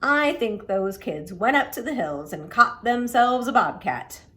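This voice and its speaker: A woman's voice: a little high, expressive, measured pace, sharp tone. A woman voicing a folksy male mailman from a storybook, wryly opinionated and emphatic. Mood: wry suspicion.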